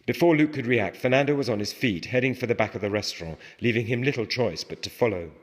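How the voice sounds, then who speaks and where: A man's voice: medium pitch, fast. A man reading a novel aloud as its author, in a scripted literary narration style, recorded in a reverberant space. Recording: reverberant hall with long echo.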